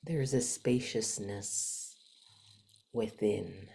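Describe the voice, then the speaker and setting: A woman leading a guided meditation in a soft, gentle manner, with long pauses and some breathiness. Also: rumbling low, creaky.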